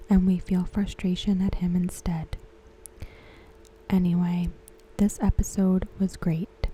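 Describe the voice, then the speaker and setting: A woman giving ASMR commentary in a hushed, gentle, breathy, whispery voice. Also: on the low side, low-quality recording. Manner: hushed, gentle, unhurried delivery. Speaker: ASMR content creator reviewing a TV episode. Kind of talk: ASMR soft-spoken commentary.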